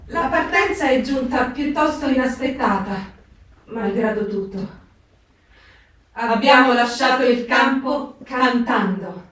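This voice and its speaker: High-voiced young deportee, far-off and echoing. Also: female voice, slow pace, fairly bright tone. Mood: calm, resolute. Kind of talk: theatrical dramatic reading of letters. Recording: roomy, distant pickup with guitar music.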